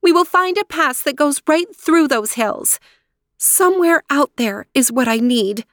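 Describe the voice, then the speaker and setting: An earnest young princess of sixteen, played by a woman reading a storybook, pitched high and very emphatic. Her delivery is lively and expressive, headstrong in temper, recorded close and dry. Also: measured pace, no echo. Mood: firm, determined. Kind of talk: storybook character voice.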